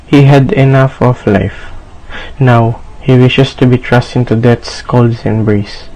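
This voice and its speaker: A man's voice: medium pitch, fairly even intonation, takes it slowly, warm tone, a little hiss or hum, low-quality recording. A man narrating a radio drama from a script in a dramatic storytelling style.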